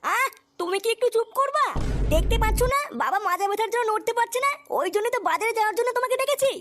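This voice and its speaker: A woman's voice: very high voice, animated, fast, music in the background. A woman voicing a dutiful but bossy young village daughter-in-law in a comic cartoon, speaking insistently. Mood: scolding. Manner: scolding, insistent.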